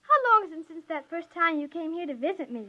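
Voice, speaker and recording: A woman's voice: very high voice, very animated, fast. A woman playing a sweet, innocent young daughter in an old radio drama. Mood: innocent, cheerful. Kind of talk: 1950s radio drama acting. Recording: dull lo-fi old radio recording.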